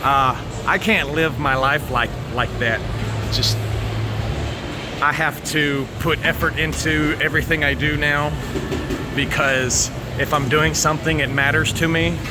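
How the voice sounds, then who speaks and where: An American man talking casually to viewers, rambling and halting, candid and sweary, into a handheld phone. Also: fairly high pitch. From United States. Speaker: vlogger. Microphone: handheld phone at arm's length. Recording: noisy outdoor recording with vehicle sounds.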